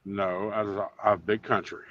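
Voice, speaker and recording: A man's voice: lowish voice, level tone, moderate pace, no room sound, lo-fi sound. A man hosting a casual group call with fellow truckers, joking about himself and stammering through restarts. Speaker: trucker and show host.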